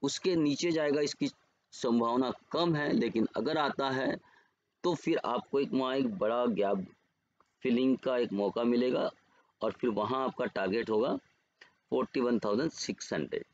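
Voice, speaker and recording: A man with an Indian accent giving trading levels over charts as a market educator, unhurried and pausing often to correct himself. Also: medium pitch, muffled recording. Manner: unhurried, pauses and self-corrects while reading levels. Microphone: screen recording voice-over.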